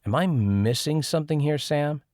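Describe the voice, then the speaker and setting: A man's voice: on the high side, animated, normal speed, darkish tone, no echo, pitched above the performer's own voice, natural voice. A male narrator plays a young man in his twenties in an audiobook. He is polite, deferential and a little hesitant, his voice lifted slightly, with a dry sound around it. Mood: incredulous. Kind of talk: audiobook character dialogue.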